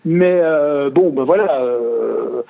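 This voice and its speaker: A man's voice: mid-range voice, slow, creaky. A man giving casual feedback as a customer, explaining in a hesitant, repetitive, roundabout way. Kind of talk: casual spoken phone feedback. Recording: tinny, narrow phone line.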